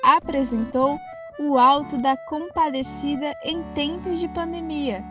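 A woman's voice: sits high, a little slow, music in the background. A woman announcer reading scripted credits for a radio drama.

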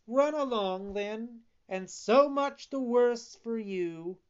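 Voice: mid-range voice, expressive, takes it slowly, darkish tone, clear, resonant tone, lo-fi sound, voice pushed up. A reader doing a sly coaxing fox. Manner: persuasive, coaxing, mock-offended. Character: sly smooth-talking fox swindler. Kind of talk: audiobook reading.

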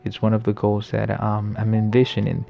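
A man in his late twenties hosting a casual solo podcast, talking in a friendly, reflective way, often pausing and restarting his sentences. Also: on the low side, completely flat delivery, gravelly, lo-fi sound. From the Americas. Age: late 20s. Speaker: podcast host.

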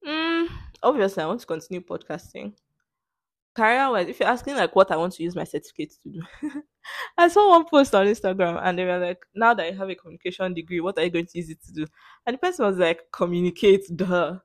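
A woman's voice: medium pitch, expressive, quick pace, no echo, studio quality. A young woman with a Nigerian English accent, host of a casual solo podcast, answering questions in a playful, sarcastic way. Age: young adult. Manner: sarcastic, playful, laughs often.

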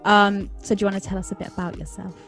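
A woman with a London accent hosting a podcast interview, speaking in a measured, thoughtful way with some hesitant fillers. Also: mid-range voice, flat delivery, fairly bright tone, soft background music. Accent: London English. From England. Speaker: podcast host.

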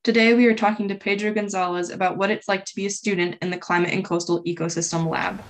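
A woman's voice: medium pitch, fairly even intonation, quick pace. A woman hosting a podcast, reading a scripted introduction. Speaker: podcast host.

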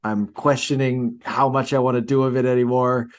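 A man's voice: medium pitch, steady tone, normal speed. Male podcast co-host, mid-range voice, casual, rambling delivery with hesitations.

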